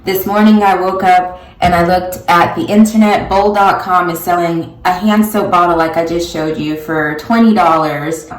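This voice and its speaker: A middle-aged woman, a social epidemiologist, explaining in a measured way as she narrates and vlogs. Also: lowish voice, steady tone, rough recording. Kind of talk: personal vlog and voice-over narration.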